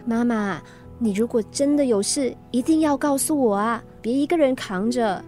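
A high-pitched, caring teenage girl acted by a woman with a Singaporean accent. She is earnest, coaxing and gently nagging, in radio drama style with faint music underneath. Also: moderate pace, close to an everyday voice.

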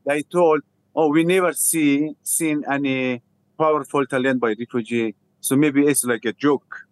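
Afghan-accented man telling his story haltingly. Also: medium pitch, steady tone, no room sound. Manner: halting, simple non-native English with repeated phrases. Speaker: interview guest, refugee theatre founder. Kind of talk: personal storytelling in an interview.

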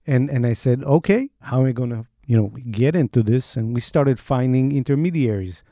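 A man's voice: mid-range voice, normal speed, warm tone, no echo, clean studio sound. A man with an Israeli accent, an investigative journalist telling the story of an undercover investigation in an interview, often restarting and repeating words as he talks.

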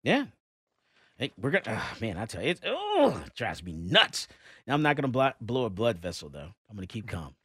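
A radio host, ranting and laughing in animated talk-show chat. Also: medium pitch, fairly quick, husky, dry recording, clean studio sound. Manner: excitable, ranting, laughs often.

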